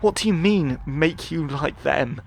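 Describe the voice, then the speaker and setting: A man's voice: a little high, unhurried, vocal fry, a little hiss or hum, pitched above the performer's own voice. A man voicing an earnest, anxious female expedition scientist in a dramatised sci-fi audiobook, with urgent delivery. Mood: distraught.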